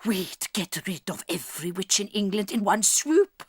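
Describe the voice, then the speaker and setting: A woman's voice: medium pitch, big pitch swings, normal speed, husky, dry recording. A woman playing a doting, conspiratorial old grandmother, bold and sprightly, in an audio drama. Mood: excited, scheming.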